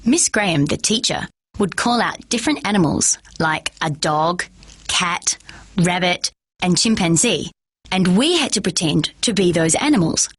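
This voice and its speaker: Husky woman reading a children's novel. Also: fairly low pitch, unhurried, dry recording. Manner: steady first-person storybook narration. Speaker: storyteller.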